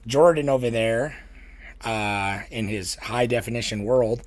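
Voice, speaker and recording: Raspy, laid-back podcast guy. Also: medium pitch, a little slow, no room sound. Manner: laid-back, swears, laughs often. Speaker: podcast co-host. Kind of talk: casual chat.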